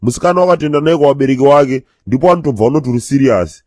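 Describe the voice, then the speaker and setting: A man plays a grumbling, mocking Zimbabwean guy in a cartoon comedy. He rattles off slangy banter very fast in a mellow, medium-pitched voice. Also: no echo, natural voice.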